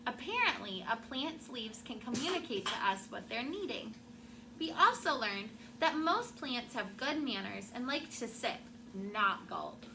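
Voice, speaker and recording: Through clear background noise, a woman with a fairly high voice reads a picture book aloud to schoolchildren. She is a teacher who tells the story expressively and breaks off for short asides to her class. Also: very animated, unhurried. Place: classroom with children. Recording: clearly noisy classroom recording.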